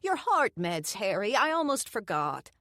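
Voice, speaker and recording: A woman voicing a cheerful, fussing elderly woman who dotes on her guest, in a high, loud, slow, doting voice. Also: very animated, no echo, clean studio sound. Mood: insistent, bossy.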